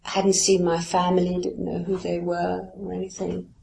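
A woman's voice: fairly low pitch, monotone, moderate pace, vocal fry, mellow, slight background noise. A woman poet who grew up speaking Farsi, answering interview questions in a musing, hesitant way with light laughs. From Iran. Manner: musing and hesitant, many "you know" fillers, light laughs.

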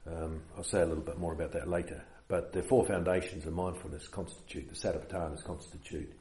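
A man with a New Zealand accent leading a meditation retreat, giving an unhurried dharma talk with many fillers. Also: sits low, steady tone, mellow, reverberant, a little hiss or hum.

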